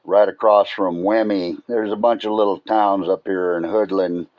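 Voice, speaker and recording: A man's voice: sits low, fairly even intonation, normal speed. A man chatting casually over two-way radio in a laid-back way, often calling the listener "man". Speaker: amateur radio operator. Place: radio transmission. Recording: muffled, echoey two-way radio transmission.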